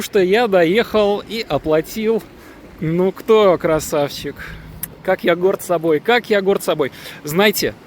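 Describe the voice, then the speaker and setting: Male travel vlogger, slightly high, boastful jokey delivery, faint street noise behind. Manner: jokey, boastful, slangy storytelling. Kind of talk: casual vlog narration.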